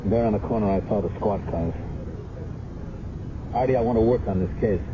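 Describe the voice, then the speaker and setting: An actor playing a dogged, guilt-ridden police sergeant in an earnest, insistent 1970s radio drama style. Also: male voice, fairly low pitch, brisk, natural voice. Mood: wounded, brooding, then urgent, insistent. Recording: muffled, noisy 1970s radio recording.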